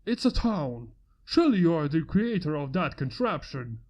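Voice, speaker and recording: A woman's voice: deep voice, big pitch swings, moderate pace, darkish tone, dull audio, pitched below the performer's own voice. A woman voicing a grandiose male pony knight who proclaims his lines in old-fashioned, overblown speech. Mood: pompous. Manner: archaic dramatic proclaiming.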